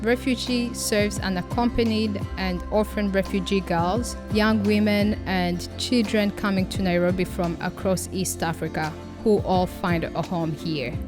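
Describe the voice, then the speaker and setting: A woman reading scripted promotional narration for a charity in a slow, solemn, deliberate way. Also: medium pitch, steady tone, over music.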